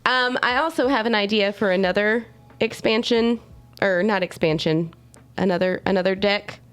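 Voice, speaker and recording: A woman co-hosting a casual live-streamed show, tired and with her voice lower than usual, making dry teasing remarks. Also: normal speed, clean tone, dry recording. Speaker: co-host.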